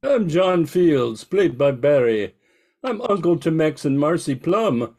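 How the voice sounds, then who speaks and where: A wealthy, reclusive uncle played by a man in a radio drama. His voice sits a little high, with a genial, courteous air. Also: very animated, takes it slowly, darkish tone, soft background music, full character voice. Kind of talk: amateur radio play acting.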